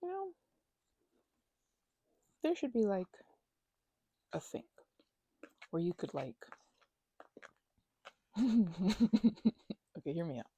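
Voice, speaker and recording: Woman acting as weary but playful roommate girl, asmr roleplay, soft raspy voice, super dry close mic, kinda rambly and hesitant. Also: fairly low pitch, huge pitch swings, takes it slowly, pauses often, no room sound, heavily acted. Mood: animated, musing. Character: chatty, supportive female housemate, weary from a migraine. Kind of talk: intimate ASMR roleplay, casual conversational delivery. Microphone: close microphone, dry.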